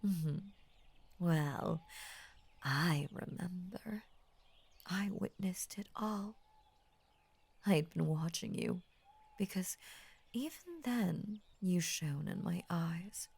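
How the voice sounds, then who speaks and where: A woman voicing an ancient, possessive lamia in intimate roleplay audio, tender and formal, with a breathy husky voice that often drops into a whisper. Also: fairly low pitch, animated, exaggerated voice. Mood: wistful, obsessive. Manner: slow, tender, formal, hushed obsessive devotion. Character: ancient possessive lamia, lovestruck, devoted and murderous.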